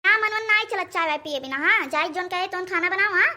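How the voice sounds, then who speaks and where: A woman's voice: very high-pitched, quick pace, bright, forceful tone, exaggerated voice. A woman voicing a bossy, scolding old village mother-in-law with an Awadhi accent in a cartoon, hectoring with repeated demands. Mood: bossy, threatening. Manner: hectoring, repeating tags as threats. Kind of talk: cartoon dialogue.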